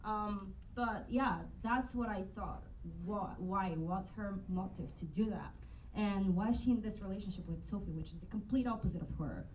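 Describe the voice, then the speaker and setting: A woman's voice: mid-range voice, normal speed, darkish tone. An actress tells her story animatedly and thoughtfully. She is picked up from a distance, with faint noise underneath. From Bulgaria. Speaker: actor on a panel. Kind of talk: panel Q&A answers.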